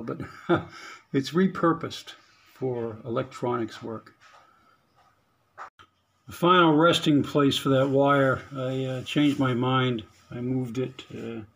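A man giving casual, unscripted how-to commentary on an electronics project, halting as he thinks aloud. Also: mid-range voice, gravelly. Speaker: hobbyist narrating an electronics modification. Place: indoors at a work table.

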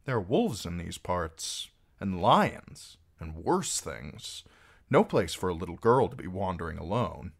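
A roguish travelling minstrel in a storybook, voiced by a man. He is genial and wry, with a very animated pitch that keeps sliding about as he teases and coaxes. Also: vocal fry, breathy edge, full character voice. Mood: coaxing. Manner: teasing, wheedling, drawn-out. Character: wry, genial roguish wandering male singer.

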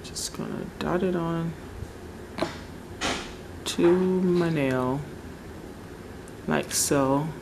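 Slow, gravelly-voiced woman chatting. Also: sits low, background noise. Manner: relaxed, chatty, searching for words. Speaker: nail tutorial host. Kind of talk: casual how-to narration. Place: home table, indoors.

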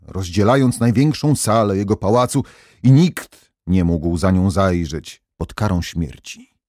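A man narrating a legend as a scripted audio tale, in a measured, dramatic storytelling manner. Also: medium pitch, mellow, no echo, clean studio sound. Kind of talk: scripted fairy-tale narration with musical backing.

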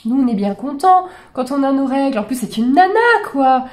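A woman in her forties, slightly hoarse from a cold, giving exasperated, incredulous reaction commentary to videos from her bedroom desk, with many fillers and restarts. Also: high-pitched, expressive, brisk, darkish tone. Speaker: horse channel host reacting to videos. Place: small bedroom with gaming chair.

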